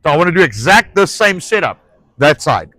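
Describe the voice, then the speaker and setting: A man's voice: on the high side, very animated, brisk, bright, forceful tone, no room sound. A man with an Afrikaans accent, Afrikaans his first language, hosting a casual outdoor talk about a ranch project, often hunting for words with fillers and restarts, swearing now and then. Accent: South African. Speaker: ranch owner and show host.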